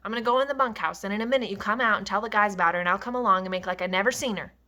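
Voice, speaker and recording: A woman's voice: medium pitch, fairly quick, bright, forceful tone. A woman reading the lines of a worried, protective ranch worker, resigned and urgent in turn.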